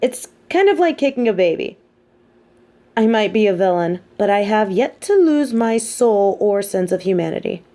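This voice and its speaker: A woman playing a sardonic, teasing supervillain with a hidden soft side, talking straight to the listener in an audio roleplay with theatrical, mocking emphasis. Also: mid-range voice, animated, normal speed, close to an everyday voice. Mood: teasing, amused. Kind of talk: scripted audio roleplay monologue spoken to the listener.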